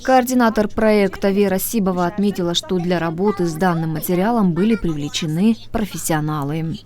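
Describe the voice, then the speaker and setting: A woman's voice: lowish voice, fairly quick, a little mellow. A woman reporter reading scripted news narration for a regional television report.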